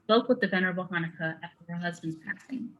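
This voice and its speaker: A woman's voice: on the low side, quick pace, a bit bright, lo-fi sound, close to an everyday voice. A woman playing a composed, terse female warrior in a dramatic reading, matter-of-fact and curt. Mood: composed, firm.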